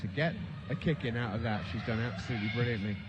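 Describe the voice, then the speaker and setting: A man giving live play-by-play commentary on a futsal match, excitable and rising to shouts at the big chances. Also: mid-range voice, fast, very noisy.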